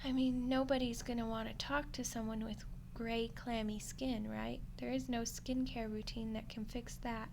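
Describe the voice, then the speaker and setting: A woman plays an undead skeleton girl with a fairly high voice in an ASMR audio roleplay. She is lonely and friendly, rambling in a casual, awkward way straight to the listener. There is clear background noise around her. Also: flat delivery, moderate pace, few pauses. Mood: frustrated.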